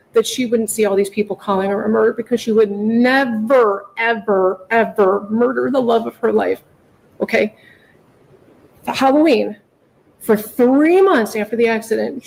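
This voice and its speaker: Pleading middle-aged woman, high husky creaky voice. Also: darkish tone, rough recording. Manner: pleading, repetitive, emphatic. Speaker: mother pleading in court for her daughter. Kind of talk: emotional unscripted plea to a judge.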